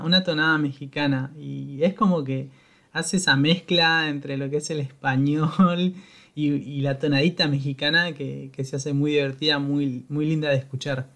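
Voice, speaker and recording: Man hosting, slightly high voice. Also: normal speed, vocal fry, a bit bright. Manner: conversational, with repeated restarts. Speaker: podcast host. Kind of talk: casual podcast interview.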